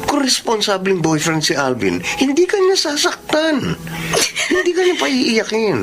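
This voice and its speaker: A woman's voice: on the high side, sing-song, unhurried, background noise. A woman acting a protective, blunt young friend in an insistent, emphatic way in a Filipino radio drama. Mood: indignant.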